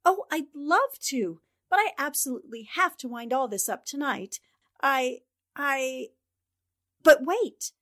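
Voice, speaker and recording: Woman as newspaper reporter, high-pitched, decisive, self-assured, 1940s radio drama acting. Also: lively intonation, measured pace, a bit bright. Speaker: actor.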